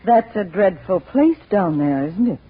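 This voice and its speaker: A woman's voice: medium pitch, lively intonation, unhurried, a little hiss or hum, lightly acted. An actress in 1940s radio style playing a troubled mother, earnest and anxious after a supernatural fright. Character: troubled, dazed mother shaken by a supernatural encounter. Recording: dull old radio recording, loud music.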